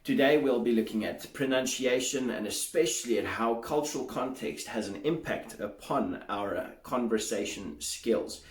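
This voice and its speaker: Echoey male English teacher explaining. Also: mid-range voice. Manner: measured, explanatory, demonstrates sounds aloud. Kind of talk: solo teaching monologue.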